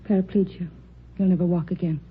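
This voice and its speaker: Woman as a quick, flat-toned hospital nurse. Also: fairly low pitch, a little hiss or hum, natural voice. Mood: clinical, grave. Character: brisk, matter-of-fact female hospital nurse. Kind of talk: 1950s radio drama acting. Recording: tinny, narrow vintage radio recording.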